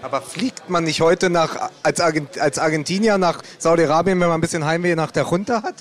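A man's voice: on the high side, a little fast. A man on the panel, a journalist who cuts in with jokes. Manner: joking interjections.

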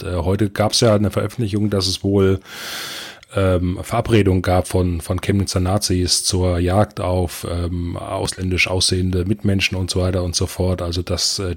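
A man's voice: low-pitched, flat delivery, normal speed, no echo. A man on a podcast panel, chatting casually in a blunt, opinionated way. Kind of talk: casual chat.

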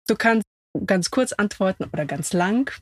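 Female podcast host, effusive and casual, medium pitch, close dry sound. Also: moderate pace, no echo. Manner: excited, effusive. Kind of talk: casual interview. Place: inside a camper van.

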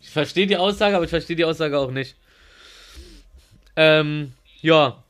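A man's voice: on the high side, moderate pace, a bit bright. A man co-hosting a podcast in casual banter among friends, telling things in a rambling, stammering way. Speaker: podcast co-host.

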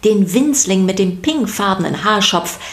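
A woman reading scripted children's story narration in an expressive, playful way. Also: mid-range voice, normal speed.

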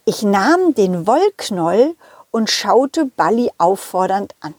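A woman telling a story to children in the first person, with expressive emphasis and direct address to young listeners. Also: mid-range voice, takes it slowly, breathy edge, no room sound, studio quality.

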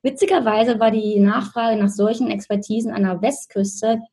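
A woman in her thirties from Berlin, a guest telling her story in a relaxed, chatty way, with many fillers and restarts and frequent laughs. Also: on the high side, normal speed, breathy edge. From Berlin, Germany. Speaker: interview guest. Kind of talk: casual conversational storytelling. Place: in Australia.

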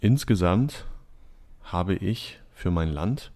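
A young man hosting a podcast quiz, reading out clues in a calm, measured way. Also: sits low. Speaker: quiz host.

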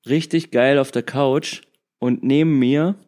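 A man's voice: mid-range voice, fairly even intonation, a little slow, darkish tone. A man in casual podcast chat from his living room couch, talking with many fillers and restarts and often laughing. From Germany. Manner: chatty, hesitant, laughs often. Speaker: podcast guest. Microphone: laptop call.

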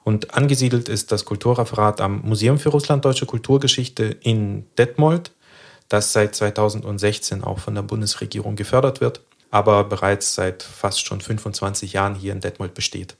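A man in his thirties from Kazakhstan, co-hosting a podcast and explaining history in a measured way, pausing often to think. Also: on the low side, steady tone, gravelly. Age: 30s. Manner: measured, explanatory, many hesitation fillers. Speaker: podcast co-host.

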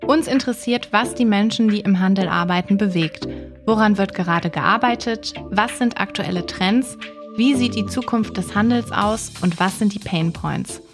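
A woman's voice: mid-range voice, moderate pace, over music. A woman hosting an interview podcast, introducing and questioning a guest. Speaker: podcast host.

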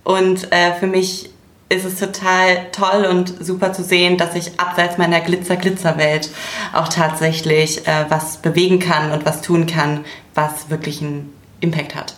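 A woman from Solingen talks as a guest, enthusiastic but halting with fillers. Her voice sits lower than most women's. The recording sounds roomy and echoey. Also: flat delivery. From Solingen, Germany. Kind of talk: casual podcast chat.

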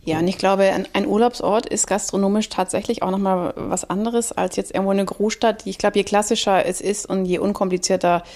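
A woman who owns a restaurant, telling its history in a relaxed podcast conversation, rambling with many restarts. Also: medium pitch, brisk, few pauses. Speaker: restaurant owner.

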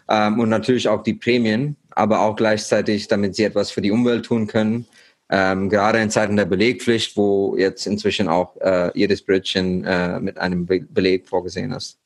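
A man in his thirties, from India, speaks in a fairly low voice. He talks as a podcast guest, halting often with fillers and restarting his sentences. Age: 30s. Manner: hesitant, many fillers and restarts. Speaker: startup founder, guest. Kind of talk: podcast interview.

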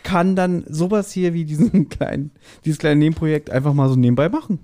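Mellow-voiced man hosting a casual podcast. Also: on the high side, a little fast. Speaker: podcast host.

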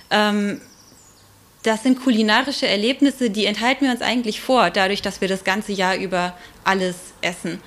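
A woman explaining things informally as an expert guest in an interview, with frequent hesitant pauses. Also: medium pitch.

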